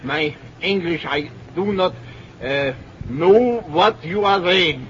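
An actor playing a paranoid, tyrannical German dictator in an old-time radio drama, with a German accent and a barking, clipped, imperious manner. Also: male voice, high voice, slow, fairly bright tone. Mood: scornful, impatient. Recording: dull, noisy old radio recording.

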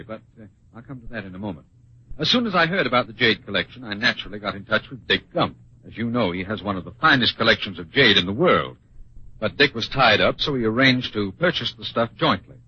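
A suave American gentleman adventurer in a golden-age radio drama. He sounds wry and unflappable, with a mid-pitched, husky voice. The recording is dull and aged. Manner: urbane, wry, unruffled. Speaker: narrator and lead character. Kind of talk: old-time radio drama acting and narration. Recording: muffled old radio recording.

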